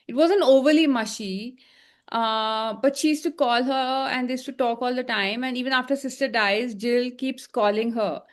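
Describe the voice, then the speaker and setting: A woman with a higher-pitched voice talks casually as a review show co-host. She lays out her opinions emphatically, in a conversational, unscripted way. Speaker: podcast co-host reviewing a film.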